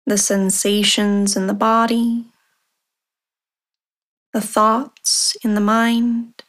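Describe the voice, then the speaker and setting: A woman guides a meditation in a calm, very slow voice. Her pitch sits in the middle and barely moves. She gives measured instructions and leaves long pauses between short phrases. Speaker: meditation guide, podcast host. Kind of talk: guided meditation, body scan.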